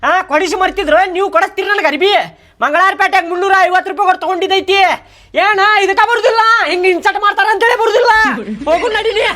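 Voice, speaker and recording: A woman's voice: very high-pitched, fast talker, a bit bright. A woman voicing a touchy son-in-law who grumbles and takes offense at everything, in a North Karnataka Kannada accent. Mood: indignant. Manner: indignant, complaining, sarcastic laughs. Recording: noisy background.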